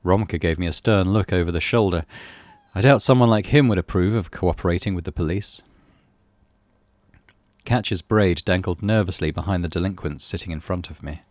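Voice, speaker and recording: Low-voiced man reading a horror game aloud. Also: brisk, dry recording. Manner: dramatic, animated reading. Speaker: gamer reading a visual novel aloud. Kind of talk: let's play, expressive story narration. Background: game music.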